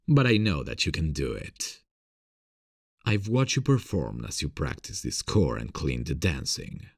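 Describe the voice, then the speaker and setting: A man playing a gentle, encouraging theater director who is secretly smitten, in a breathy, gravelly scripted ASMR audio roleplay. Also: a bass voice, dry recording, studio quality, exaggerated voice. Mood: proud, encouraging. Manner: gentle, encouraging, soft chuckles. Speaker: voice actor.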